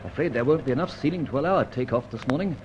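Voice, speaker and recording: A man with a British accent playing a cheeky, unafraid gentleman adventurer, flippant and clipped, in an old-time radio detective drama. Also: mid-range voice, lively intonation, rapid-fire, close to an everyday voice. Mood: brisk. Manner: flippant, clipped upper-class banter. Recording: muffled old radio recording, faint noise.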